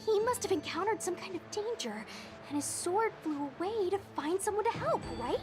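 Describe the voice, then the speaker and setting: Very high, animated, earnest young girl warrior. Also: normal speed, music in the background. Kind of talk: animated video game dialogue.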